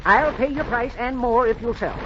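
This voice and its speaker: A man's voice: extremely high pitch, normal speed. An actor playing an eager, cagey American oil businessman in 1940s radio style, blustering and hemming and hawing. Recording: noisy, dull old radio recording.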